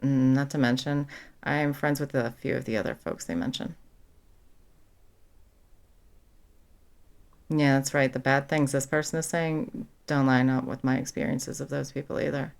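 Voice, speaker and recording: A caring young female best friend, acted by a woman in an audio roleplay, with a low-pitched voice and plenty of vocal fry. She talks casually and frankly, protective and supportive of the person she is comforting. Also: steady tone, a little fast. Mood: reassuring, matter-of-fact. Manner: casual, supportive, blunt, sweary. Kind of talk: ASMR comfort roleplay, conversational.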